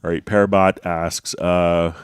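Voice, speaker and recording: A man hosting a live software tutorial stream, sounding a little congested from a slight cold, talking in an easygoing way with frequent fillers and restarts. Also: sits low, flat delivery, unhurried, clear, resonant tone, no echo, studio quality. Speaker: host.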